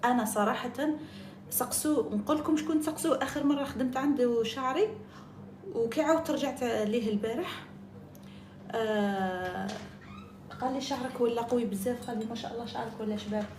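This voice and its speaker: Algerian woman chatting fast on a live stream, echoey room, noisy background. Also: on the high side. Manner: chatty, jumps between topics, mixes in French and English. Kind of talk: casual live-stream chat.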